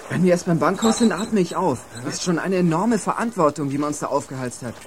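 A man's voice: a little high, animated, quick pace, slight background noise, lightly acted. An actor playing an anxious teenage boy in a radio play for young listeners. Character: anxious chubby teenage boy, worried about carrying money. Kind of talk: German youth radio play acting.

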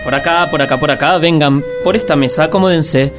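A man's voice: on the high side, brisk, over music, close to an everyday voice. An actor playing a courteous male restaurant host. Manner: courteous, ushering.

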